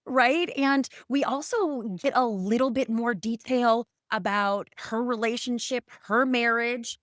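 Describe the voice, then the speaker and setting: A woman hosting a casual podcast, asking questions in a chatty, informal and expressive way. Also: a little high, a little slow, dry recording, studio quality. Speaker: podcast host, interviewer.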